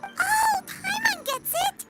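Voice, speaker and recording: A woman voicing a small, childlike fairy companion in an anime video game, squeaky, chirpy, excitable and curious. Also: takes it slowly, music in the background. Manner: third-person self-reference, chirpy, excitable.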